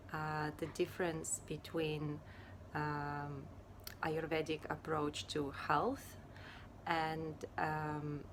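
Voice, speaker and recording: A woman from Ukraine, a yoga teacher talking casually and unhurriedly, pausing often with fillers. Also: sits low, noisy background. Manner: unhurried and hesitant, many fillers. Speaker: yoga teacher and Ayurveda therapist. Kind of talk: casual personal talk to camera.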